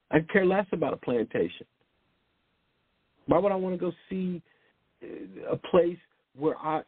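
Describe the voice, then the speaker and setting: A man's voice: high voice, breathy edge, no room sound. A man preaching informally in a rambling, blunt way, often repeating words and restarting. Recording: tinny phone-like sound, dry.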